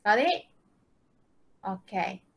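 A woman's voice: mid-range voice, expressive, drawn-out words, gravelly, background noise. A woman teaching a live online English class, often checking understanding with short questions. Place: online video-call lesson.

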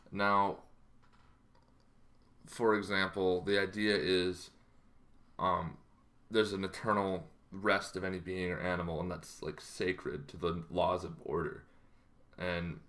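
A man in his thirties talking casually to camera in an explanatory way, halting and improvised, in a small bedroom. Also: low voice, a bit bright. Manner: halting, loosely improvised delivery. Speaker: tabletop roleplaying game vlogger.

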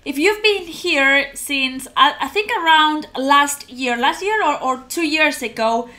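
A young woman from Spain with a Spanish accent, chatting casually to camera as a reaction host in an animated, halting, giggly way. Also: high voice, faint music behind. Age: young adult. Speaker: host of a music reaction channel. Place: small room at home, indoors.

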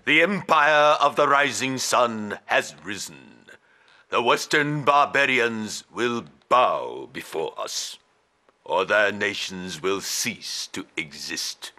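A proud older emperor in a game cutscene. He is solemn and grandiose, with very slow delivery and a hard, piercing tone. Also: on the low side, animated, pushed and bright, full character voice. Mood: menacing. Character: proud menacing Japanese emperor delivering an ultimatum.

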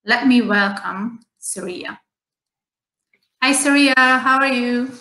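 A woman hosts an online interview from a plain room at home. She speaks carefully, pausing on fillers and restarting her phrases. The call sound bounces around a roomy space. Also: a little high, pushed and bright. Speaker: host. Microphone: video call. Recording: echoey room on a video call.

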